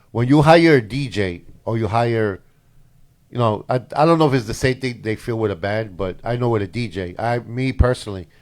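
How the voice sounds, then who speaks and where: A man of mid pitch hosts a relaxed talk show. He chats easily and tells long anecdotes in plain everyday speech. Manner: animated storyteller, gives shout-outs. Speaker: co-host and DJ. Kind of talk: casual podcast chat.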